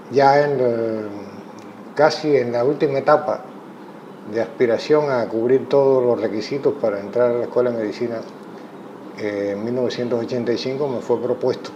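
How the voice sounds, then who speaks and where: A Cuban man, a chess coach, giving thoughtful interview answers in a measured way with frequent pauses. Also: medium pitch. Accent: Cuban Spanish. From Cuba. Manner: measured, thoughtful, many hesitations. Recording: muffled audio with clear background noise.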